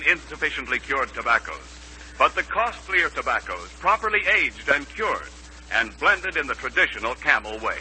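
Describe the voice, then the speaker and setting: An American man reading an emphatic, measured advertising pitch on a hummy 1940s radio recording. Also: pushed and bright, slight background noise, low-quality recording. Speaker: radio commercial announcer.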